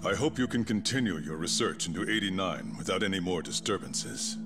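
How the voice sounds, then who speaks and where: Deep-voiced, calculating male chief prosecutor. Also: expressive. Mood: formal, composed. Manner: measured, formal, authoritative. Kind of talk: video game cutscene drama. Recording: music under the dialogue.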